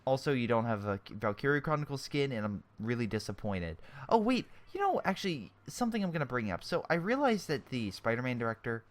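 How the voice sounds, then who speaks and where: A man's voice: mid-range voice, sing-song, fairly quick, hardly any pauses. A young man with a sore throat giving casual, chatty commentary on a strategy game replay, often correcting himself mid-sentence and airing blunt opinions.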